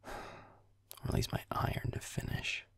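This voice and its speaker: A young man playing a grumpy, sardonic werewolf boyfriend in an intimate audio roleplay, muttering deadpan in a breathy, gravelly murmur as he games. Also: measured pace, no room sound, in a whisper, close to an everyday voice.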